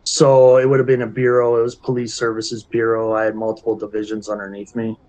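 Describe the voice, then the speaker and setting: Terse, flat-voiced deputy giving testimony. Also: male voice, lowish voice, brisk, low-quality recording. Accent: Wisconsin. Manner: terse, formal answers. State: slightly raspy from talking. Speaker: chief deputy sheriff testifying as witness. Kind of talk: formal sworn testimony over video call. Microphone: video call.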